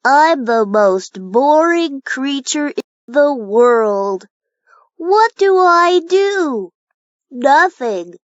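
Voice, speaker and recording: A glum little earthworm boy, played by a woman sighs over his dull life and dreams aloud in a storybook read-aloud. His voice sits fairly high with lively pitch and is slightly off-mic. The sound is dry and lo-fi. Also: dry recording, full character voice. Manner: mopey, self-pitying, wondering. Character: glum young male earthworm, wistful dreamer.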